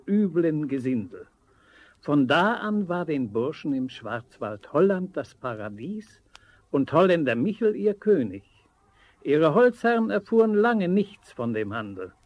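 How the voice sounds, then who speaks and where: Lively, high-pitched spooky storyteller. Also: male voice. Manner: dramatic, conspiratorial, emphatic. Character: storyteller recounting a spooky local legend, knowing and ominous. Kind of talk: storybook radio play acting.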